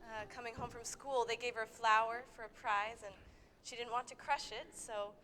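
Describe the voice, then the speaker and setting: A woman's voice: on the high side, steady tone, moderate pace, pushed and bright, a little hiss or hum. A young woman playing a patient wife and mother. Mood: soothing. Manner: soothing and reassuring. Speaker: stage actress in live radio play. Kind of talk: 1940s radio acting. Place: theater stage with audience. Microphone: vintage stand microphone. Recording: long hall reverb with music behind.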